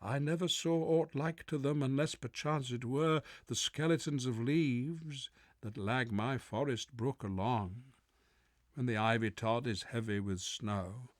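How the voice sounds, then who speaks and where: A man's voice: mid-range voice, measured pace, dry recording, studio quality, voice pushed up, close to an everyday voice. A man voicing a hearty, pious old hermit who exclaims in archaic, wondering speech in a poetry reading.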